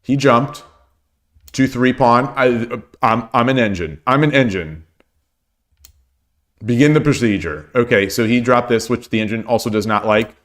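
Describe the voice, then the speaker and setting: A man with a hoarse voice streaming shogi, talking casually and thinking aloud as he looks over his games, often repeating his phrases. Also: mid-range voice, measured pace. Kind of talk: casual game commentary.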